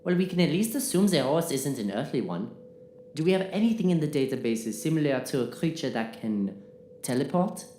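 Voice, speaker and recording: A reasoning, wry female crew member, played by a woman with a low, mellow voice. She coaxes deliberately in sci-fi audio drama style, in a roomy recording with faint noise. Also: very animated. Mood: curious, reasoning. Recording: echoey room with faint noise.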